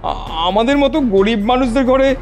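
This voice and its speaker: Very high-pitched, plaintive cartoon villager. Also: male voice, animated, normal speed, faint music behind. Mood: distressed. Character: poor, panicky village father. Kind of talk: comic cartoon acting.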